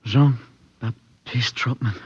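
An actor playing a distraught, evasive murderer under police questioning in 1950s radio drama, speaking haltingly and repeating himself. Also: male voice, mid-range voice, steady tone, darkish tone, slightly rough, dry recording. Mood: evasive, defensive. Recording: dull vintage radio recording.

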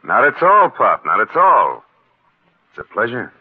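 An actor playing a nervous, scheming husband in a 1940s radio crime drama, hesitant and evasive in delivery. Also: male voice, medium pitch, wildly swooping pitch, a little slow, very noisy. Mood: feigned ease. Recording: tinny, clicky old radio recording.

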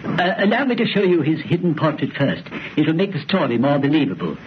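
Formal, higher-voiced country clergyman. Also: very animated, brisk. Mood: grave, ominous. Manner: hesitant, formal, solemn. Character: courteous, grave English country clergyman. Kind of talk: 1930s radio drama acting. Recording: muffled, faintly noisy old radio.

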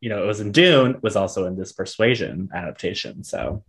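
A woman's voice: extremely low pitch, expressive, a little fast, breathy edge. Twenties woman co-host, loose rambling chat, giggles. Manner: rambling with many "like" fillers and giggles. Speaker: podcast co-host. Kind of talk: casual chat.

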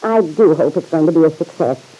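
An actress playing a kindly middle-aged mother who gently chides, in an old-time radio serial. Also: low-pitched, animated, a little fast, breathy edge. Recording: muffled old radio broadcast recording.

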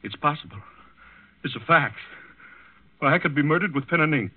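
An actor playing a nervous, haughty count who stammers and repeats himself, in 1940s radio drama style. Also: male voice, on the high side, expressive, fairly quick, slightly rough. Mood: feverish dread. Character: nervous, agitated Danish count, haughty and morbidly fearful. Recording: muffled vintage 1940s radio recording.

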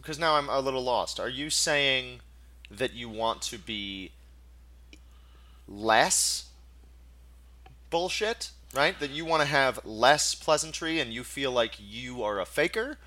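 A man's voice: mid-range voice, animated, slow pace, pauses often, pushed and bright. A man hosting a podcast, talking casually, asking probing questions and explaining his points while checking that he is understood. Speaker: podcast host. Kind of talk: casual chat.

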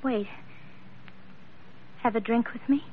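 Earnest, devoted sweetheart, wistful radio-drama delivery, thin telephone-like vintage sound. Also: female voice, on the high side, takes it slowly. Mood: pleading, tender. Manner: tender, earnest. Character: wistful, devoted woman parting from her seafaring lover. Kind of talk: classic American radio romance acting. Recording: thin, phone-like vintage radio recording, faint noise.

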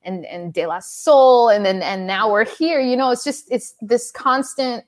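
A woman from Dubai, a poet, talking in a casual interview in a relaxed, reflective way with frequent fillers. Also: a little high, a little slow.

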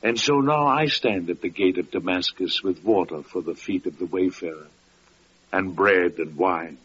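An actor playing a gentle, pious old gatekeeper with solemn, meek archaic speech in an old-time radio drama. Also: male voice, low-pitched, normal speed, husky. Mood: solemn devotion. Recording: muffled, noisy vintage radio recording.

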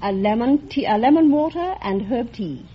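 A woman's voice: on the high side, expressive, moderate pace, very noisy. A woman contestant on a quiz show, answering questions politely and earnestly. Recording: dull old radio recording.

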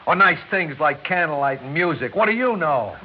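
A man acting a big, hurt, quick-tempered working-class American husband in an old radio drama, with rough, emotional speech. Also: fairly high pitch, animated. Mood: bitter, wounded. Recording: dull, noisy old radio recording.

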